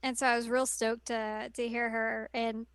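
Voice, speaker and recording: A woman co-hosting a podcast in casual chat, upbeat and chatty with quick reactions. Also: a little high, flat delivery, a bit bright, smooth, no room sound, studio quality. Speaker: podcast co-host.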